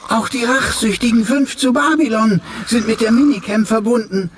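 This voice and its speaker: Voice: medium pitch, fairly even intonation, normal speed, a little hiss or hum, natural voice. An actor playing a chatty, cheeky talking computer in a radio play, with flowery, mock-archaic, servile and theatrical speech.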